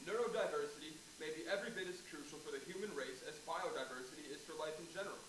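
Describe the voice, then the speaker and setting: A man's voice: a little high, fast talker, close to an everyday voice. A man playing a stately exhibit droid of famous British men, reciting in a declarative staged-reading style. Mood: stately, factual. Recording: distant echoey room, clearly noisy.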